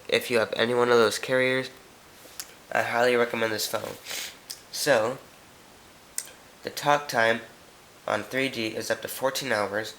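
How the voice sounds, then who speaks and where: A man's voice: mid-range voice, fairly bright tone, slight background noise, low-quality recording. A man with a stuffy nose hosting a casual tech video, talking through phone specs off camera and correcting himself as he goes. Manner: chatty, reads specs, frequent self-corrections. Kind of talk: casual product walkthrough.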